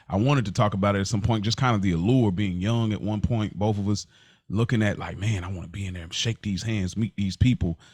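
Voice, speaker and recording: Man, lowish voice, laid-back, agreeing murmurs, casual podcast chat, dry sound. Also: no room sound. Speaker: podcast co-host.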